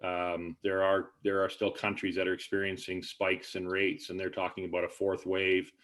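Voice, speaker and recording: Low-voiced man hosting a webinar. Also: monotone, a bit bright. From Canada. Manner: halting, many fillers and restarts. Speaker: webinar host. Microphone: video call.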